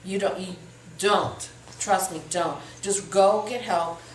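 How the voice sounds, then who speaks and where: Talk host, woman, Puerto Rican background, earnest and encouraging, mid pitch, mic set back in a roomy space. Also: fairly even intonation, takes it slowly, a little hiss or hum. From Puerto Rico. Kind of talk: candid personal talk show conversation. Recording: roomy sound from a distant mic.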